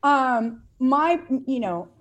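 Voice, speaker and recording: Earnest woman with hesitant, halting speech. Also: sits high, lively intonation, fairly bright tone. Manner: earnest, hesitant with many fillers and restarts. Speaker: podcast guest, CrossFit athlete and parent. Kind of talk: casual interview conversation.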